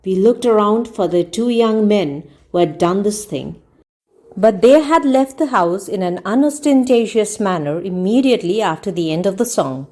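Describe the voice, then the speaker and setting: A woman narrates a comic novel in a mellow, relaxed voice at a medium pitch. She reads in a measured storytelling style, made for listeners to follow along, and leans expressively on key words. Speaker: storyteller reading a book aloud.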